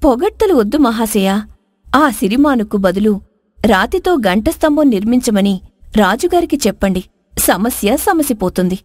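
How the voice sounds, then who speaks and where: A woman's voice: fairly high pitch, big pitch swings, normal speed, a little mellow, dry recording, clean studio sound. A woman voicing an earnest, wronged young princess in a moral folk tale, speaking formally and solemnly. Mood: earnest, sorrowful. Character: spurned dark-skinned young princess, earnest, wise, wronged.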